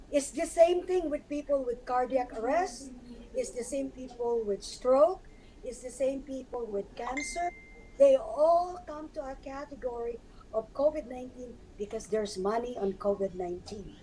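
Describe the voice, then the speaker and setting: A woman with a hoarse, scarred voice, earnestly explaining as a guest on a live stream. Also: sits high, unhurried, warm tone, slight background noise, poor audio.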